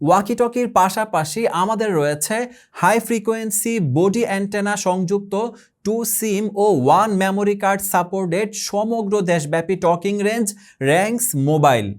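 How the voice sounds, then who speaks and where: A man with a Bangladeshi accent reading a scripted product promo as an advertising announcer, formally and in short measured phrases. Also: sits high.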